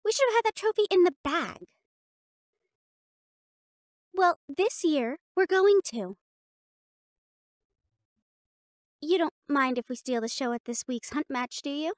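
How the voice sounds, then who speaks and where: A woman playing a bossy, sharp-tongued young catgirl cheerleader captain in a scripted audio roleplay. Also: high voice, moderate pace, no echo, clean studio sound, natural voice. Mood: sassy, proud. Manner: bossy, sassy, emphatic.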